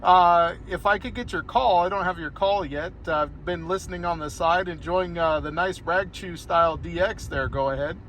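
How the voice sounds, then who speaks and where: A man's voice: high voice, moderate pace, few pauses, a bit bright, a little hiss or hum. An American man chatting casually as an amateur radio operator, rambling a little as he talks from inside a car. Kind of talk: casual ham radio conversation. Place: inside a parked car outdoors on a hillside.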